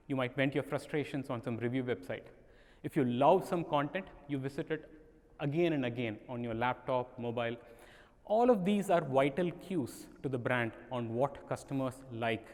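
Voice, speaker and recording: A man's voice: medium pitch, darkish tone. A man from India with an Indian accent, giving a conference presentation and asking the audience rhetorical questions. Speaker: conference speaker. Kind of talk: presentation talk. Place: large conference hall. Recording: reverberant conference hall.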